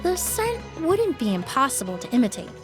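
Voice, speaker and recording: Courteous young expert, analytical, slightly high voice, lively intonation, faint music, video game. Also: female voice, brisk. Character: poised, courteous young woman perfumer, analytical. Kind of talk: video game character acting.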